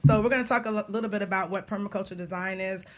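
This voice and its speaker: A woman's voice: mid-range voice, steady tone, racing. A woman hosting a radio talk show in a chatty, informal, upbeat way.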